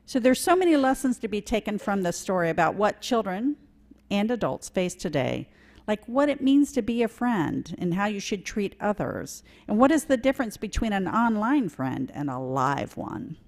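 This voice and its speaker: A woman reading a children's story aloud during a church service, slow and expressive. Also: medium pitch.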